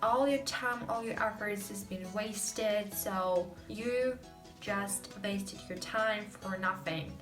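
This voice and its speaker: Young russian woman slowly explaining phrases, lots of "you know", echoey room, mic kinda far. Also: medium pitch, over music. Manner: chatty, explains with many fillers, code-switches. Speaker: host. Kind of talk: casual language lesson.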